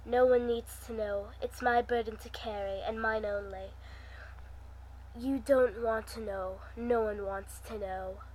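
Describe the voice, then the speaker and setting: Wounded orphan girl for a read-aloud story, acted by a woman, high, anguished, confiding, unhurried. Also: fairly even intonation, darkish tone, a little hiss or hum, lightly acted, poor audio. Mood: guarded. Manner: earnest, anguished, higher than the narration.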